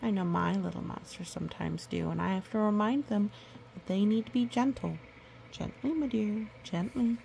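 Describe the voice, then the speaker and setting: A woman reading a picture book aloud to children, gentle and nurturing, with chatty asides. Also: mid-range voice, normal speed, creaky, a little hiss or hum, poor audio.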